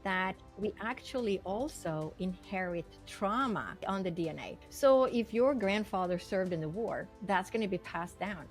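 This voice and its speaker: A woman's voice: mid-range voice, lively intonation, moderate pace, few pauses, soft background music. An older woman from Sweden, a guest telling her story in a vivid, earnest way with many fillers. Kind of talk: storytelling interview talk.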